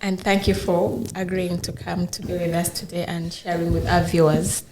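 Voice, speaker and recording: A woman's voice: fairly low pitch, flat delivery, moderate pace, very noisy. A woman hosting an online interview show, welcoming viewers and thanking her guest in a polite, formal way. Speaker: host.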